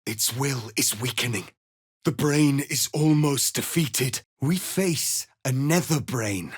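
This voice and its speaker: An actor playing a proud, stern, noble alien rebel leader in a fantasy video game, speaking formally and with deliberate, solemn authority. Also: male voice, medium pitch, expressive, slightly rough, dry recording, clean studio sound. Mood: solemn resolve. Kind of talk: dramatic fantasy game acting.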